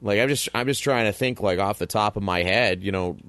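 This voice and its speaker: A man co-hosting a casual sports podcast, chatty and easygoing, quick to laugh. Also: lowish voice, a bit bright, clear, resonant tone, dry recording. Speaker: podcast co-host.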